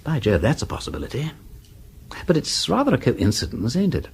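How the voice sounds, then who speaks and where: An actor playing a breezy, gallant English aristocrat detective with an upper-class accent, foppish, chatty and self-deprecating, in British radio drama style. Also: male voice, mid-range voice, huge pitch swings, fairly quick, gravelly, breathy edge, heavily acted. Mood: breezy, musing. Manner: foppish, chatty, hesitant politeness.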